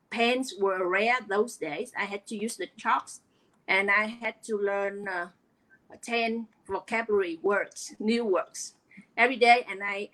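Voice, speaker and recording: A middle-aged woman from Vietnam with a Vietnamese accent, earnestly telling her story as an interview guest, often pausing on fillers. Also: medium pitch, sharp tone, low-quality recording. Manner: earnest, hesitant with many fillers. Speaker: guest, online business coach.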